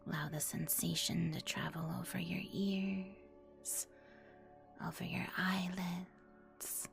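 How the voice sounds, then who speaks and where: Very slow whispering woman, ASMR meditation. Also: fairly low pitch, flat delivery, faint music behind. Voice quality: breathy whisper. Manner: soothing, hushed, instructional with long pauses. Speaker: guided meditation host.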